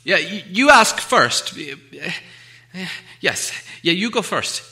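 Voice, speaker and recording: Man doing a small nervous storybook hobbit, high voice, hesitant stammer, anxiously polite, swooping intonation. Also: big pitch swings, slow pace, sharp tone, exaggerated voice. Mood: nervously agreeable. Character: timid, flustered little male hobbit, anxiously polite.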